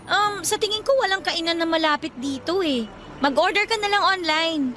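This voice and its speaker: A woman's voice: very high voice, moderate pace, bright, forceful tone, a little hiss or hum. An actress playing a pushy, eager young woman, chatty and playfully insistent, in a Filipino radio drama. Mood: helpful.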